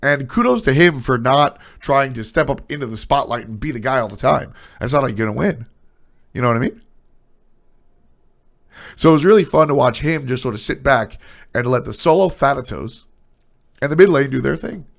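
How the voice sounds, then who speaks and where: A man's voice: medium pitch, animated, moderate pace, gravelly, long pauses. A man with a stuffy, nasal voice streaming casual, blunt opinions on esports, trailing off into asides.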